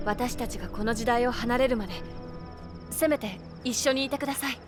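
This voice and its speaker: An actress playing an earnest, dutiful young junior agent in an anime game, polite and deferential. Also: female voice, a little high, brisk, close to an everyday voice. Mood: pleading. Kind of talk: Japanese anime game voice acting. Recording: clear music behind.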